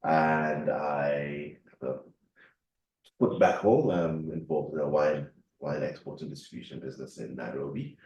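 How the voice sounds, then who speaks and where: A man's voice: very deep voice, a little slow, darkish tone, distant mic, muffled recording. A man from Kenya, a guest in a casual kitchen conversation, who laughs often and talks with many fillers. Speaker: interview guest. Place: home kitchen. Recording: roomy, from off-mic.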